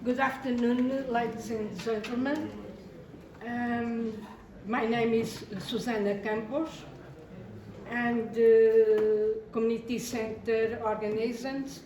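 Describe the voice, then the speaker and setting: A woman from a community organization briefly introducing herself with halting pauses. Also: fairly high pitch, little pitch movement, a crowd in the background. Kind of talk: self-introduction. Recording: echoey room, distant mic, background noise.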